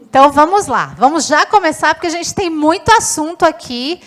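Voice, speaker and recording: A woman's voice: sits high, a little fast. A woman giving a short talk and then moderating a panel, speaking emphatically. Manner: emphatic, lively.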